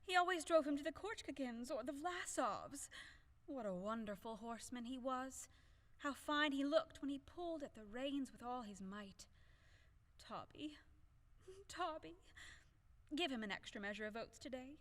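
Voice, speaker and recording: An actress playing a proud, grieving young widow in an audio play, theatrical and lamenting. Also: female voice, high-pitched, animated, normal speed, a bit bright. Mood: wistful, tearful. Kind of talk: audio play acting of a classic stage comedy.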